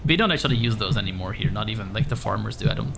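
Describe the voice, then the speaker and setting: A young man with a Dutch accent chatting casually while streaming a game, often laughing and filling pauses with "um" and "like". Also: fairly low pitch, expressive, creaky. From Netherlands. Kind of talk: casual live-stream chat while gaming. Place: echoey room. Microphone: desk microphone. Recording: room echo with game music behind.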